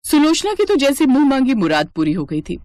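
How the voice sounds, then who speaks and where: A woman's voice: high-pitched, huge pitch swings, brisk, darkish tone, no echo. A woman narrator reading a scripted family story in storybook style.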